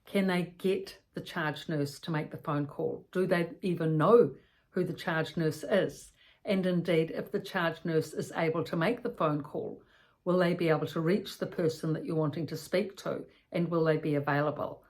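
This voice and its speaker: A woman with a fairly low voice gives an explanatory talk. She works through practical advice step by step, restating key points and offering examples as an educator would. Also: steady tone, normal speed, a little mellow.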